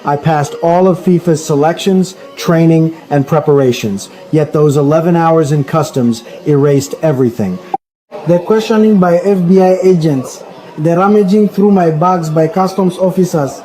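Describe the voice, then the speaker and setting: Male voice, mellow tone, slightly high, formal and aggrieved statement. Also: moderate pace. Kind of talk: read statement.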